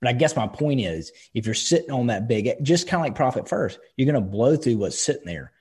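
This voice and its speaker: A man in his thirties speaks with a husky, slightly breathy voice. He talks in a casual, emphatic way, swearing now and then as he gives advice. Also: mid-range voice, animated, fairly quick, darkish tone. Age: 30s. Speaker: podcast co-host. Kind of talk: casual chat.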